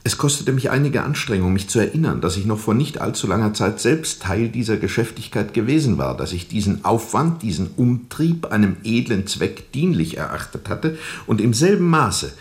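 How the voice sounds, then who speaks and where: Radio play lead: brooding sea captain, deep register, formal measured phrasing, bitter and introspective storyteller. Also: male voice, sing-song. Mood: troubled, introspective. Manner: reflective, deliberate, formal, bitterly disillusioned. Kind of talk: radio play narration, literary monologue.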